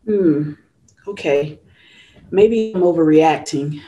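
A woman's voice: low-pitched, slow pace, mellow, lo-fi sound, a little hiss or hum. A woman playing an anxious, polite sister in a script table read. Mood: uncertain.

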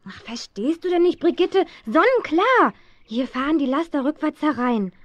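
A woman's voice: sits high, expressive, normal speed, no echo. A woman playing a plucky, headstrong teenage girl detective in a children's radio play, eager and insistent. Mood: excited, determined. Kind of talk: 1970s German children's radio play acting. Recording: dull, muffled old audio drama recording.

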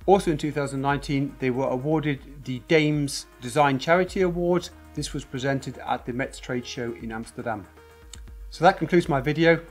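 A man in his forties presenting a scripted company explainer to camera in a dark studio, earnest and steady, gesturing with his hands as he talks. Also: medium pitch, moderate pace. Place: dark studio set with a generator on display. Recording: faint music bed under the voice.

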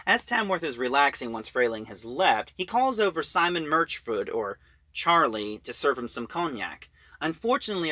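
A man giving a casual, halting recap of a story as a reviewer, in an informal way. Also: mid-range voice, pushed and bright, no room sound.

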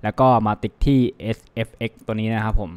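A man with a fairly low voice hosts a casual software tutorial. He walks through steps at his computer, tacking polite particles onto almost every phrase. He speaks into a computer microphone. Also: steady tone, fast, clean tone. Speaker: tutorial host. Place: indoors at a computer, voice over screen capture.

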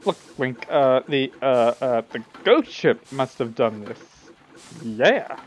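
A man's voice: mid-range voice, animated, slow, slight background noise. A man voicing a cowardly, greedy, shifty sea captain who stammers evasively in a game parody. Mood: nervous, evasive. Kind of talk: fan-dub game parody acting.

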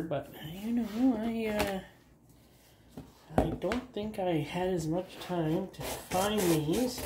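A woman's voice: lowish voice, noisy background. A woman with a cold hosting a garage channel, chatting casually and rambling with fillers while cutting apart parts on an outdoor table. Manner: rambling, self-deprecating, halting with fillers. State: has a cold. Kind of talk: casual hands-on DIY narration.

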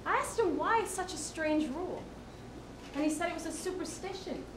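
A woman's voice: very high voice, animated, normal speed, reverberant, background noise. A woman giving a dramatic reading of a short story, recorded live at an indoor venue. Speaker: drama student or college staff reader.

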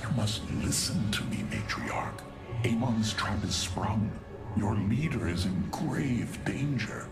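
An actor voicing an arrogant, contemptuous alien warlord in a video game, haughty and menacing. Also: male voice, on the low side, huge pitch swings, slow, vocal fry, over loud music, exaggerated voice. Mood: grim urging. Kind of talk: video game character acting.

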